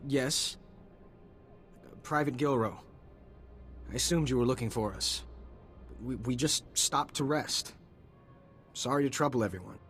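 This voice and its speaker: An actor playing a nervous, earnest, war-weary soldier in a video game. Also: male voice, mid-range voice, unhurried, pauses often, fairly bright tone, soft background music, natural voice. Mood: nervous, evasive.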